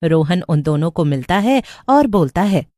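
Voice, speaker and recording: A woman narrating a cartoon horror story in a steady storybook manner. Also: on the low side, moderate pace, no echo, clean studio sound.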